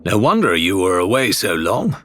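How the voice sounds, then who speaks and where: A man's voice: low voice, sing-song, normal speed, fairly bright tone. A man voicing a sceptical, scornful, greedy pirate in an audiobook, with sarcastic delivery. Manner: sarcastic, sneering.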